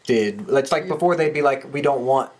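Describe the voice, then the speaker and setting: A man's voice: mid-range voice, moderate pace. A man leading a casual panel discussion as a podcast host.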